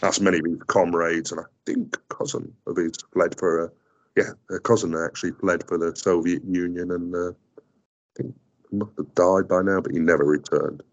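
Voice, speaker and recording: A man's voice: low voice, steady tone, normal speed, muffled recording. A man whose first language is Greek, speaking as an interview guest in a hesitant way, with many fillers and restarts. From South Africa. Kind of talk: casual interview talk.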